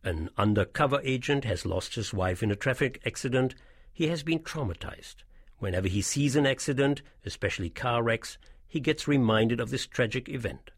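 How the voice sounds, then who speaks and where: A man's voice: mid-range voice, animated, moderate pace, breathy edge, no room sound, clean studio sound. A man narrating an audio tour in a scripted, explanatory style.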